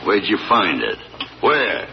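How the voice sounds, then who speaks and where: A tired country farmer dad, slow drawl, lively pitch, hissy old radio sound. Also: male voice, creaky, heavily acted. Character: weary rural farmer father, freed from prison. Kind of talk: 1940s radio acting. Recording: noisy lo-fi old radio recording.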